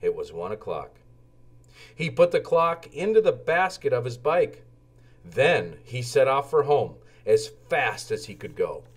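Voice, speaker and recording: A man narrating a children's storybook aloud in a deliberate, expressive way made for young listeners. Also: medium pitch.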